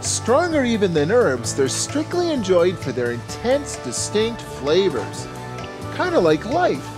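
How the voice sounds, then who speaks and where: A man's voice: high-pitched, huge pitch swings, measured pace. A man in his forties hosting a cooking show, talking with playful enthusiasm straight to the camera. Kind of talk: scripted cooking show presenting. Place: home kitchen set and grocery store. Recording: music bed behind voice. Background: background music.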